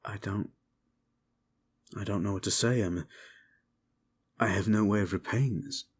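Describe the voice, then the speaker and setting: A man playing a humble, soft-spoken knight captain in a fantasy audio drama, hesitant and deferential. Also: lowish voice, steady tone, a little slow, husky. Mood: humbled, hesitant. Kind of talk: fantasy audio roleplay acting.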